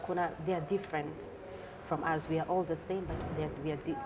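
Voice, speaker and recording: A woman's voice: low voice, fairly even intonation, fast. A middle-aged woman from the Democratic Republic of the Congo, answering as a guest in a conversational interview and switching between languages. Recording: clearly noisy background ambience.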